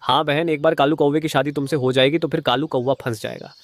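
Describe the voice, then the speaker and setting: A man's voice: fairly high pitch, fairly even intonation, quick pace, no echo, natural voice. A man playing a boastful thug crow brother. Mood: boastful, threatening. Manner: boastful, threatening. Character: menacing thug crow, protective brother of the bride. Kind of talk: Hindi moral-story cartoon dialogue.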